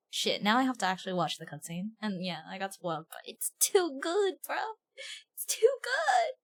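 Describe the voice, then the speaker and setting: A woman hosting a casual game livestream, chatting in a giggly, excitable, gushing way with plenty of swearing. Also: on the high side. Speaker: livestream host.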